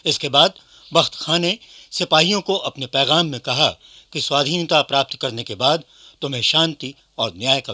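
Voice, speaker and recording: A man's voice: medium pitch, sharp tone. A man narrating a scripted historical radio play as one of its storytellers.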